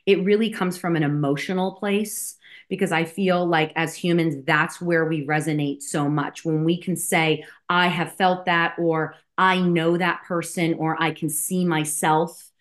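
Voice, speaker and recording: A woman from New Jersey, a film director and actress, answering interview questions in a chatty way with many fillers and restarts. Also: lowish voice.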